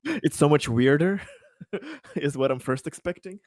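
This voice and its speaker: A man's voice: on the high side, very animated, measured pace, a little mellow. A man playing a tabletop game, bantering casually and easily amused, often repeating his phrases.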